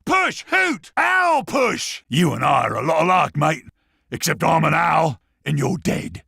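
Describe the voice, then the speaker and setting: A man's voice: fairly high pitch, very animated, a little slow, vocal fry, sharp tone, slightly rough, no echo, clean studio sound, full character voice. A man playing a cocky, gleeful owl-headed sniper in a video game, with an Australian accent and hooting exclamations. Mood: gleeful bravado. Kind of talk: exaggerated video game character acting.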